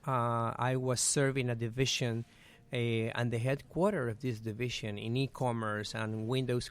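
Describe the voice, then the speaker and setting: A middle-aged man with a Venezuelan Spanish accent answers questions in an earnest, thoughtful way. His voice sounds smooth and resonant. He often fills pauses with "eh" and "um.". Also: medium pitch. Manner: earnest, with frequent "eh" and "um" fillers. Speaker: guest. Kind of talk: conversational interview answers.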